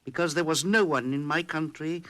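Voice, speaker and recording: Solemn principled indian electronics expert, formal measured talk, slightly high voice, 50s radio drama. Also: male voice, bright, forceful tone. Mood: grave, accusing. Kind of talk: 1950s radio drama acting.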